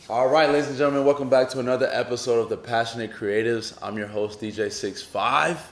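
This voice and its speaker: Low-voiced laid-back male host. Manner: hyped, laid-back slang talker. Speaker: podcast host. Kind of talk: casual interview chat.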